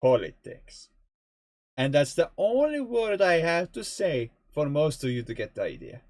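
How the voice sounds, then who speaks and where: Slow, musing man streaming. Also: fairly high pitch, wildly swooping pitch, darkish tone, no room sound. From Estonia. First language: Estonian. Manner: musing, chatty, swears casually. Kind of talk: rambling gameplay commentary.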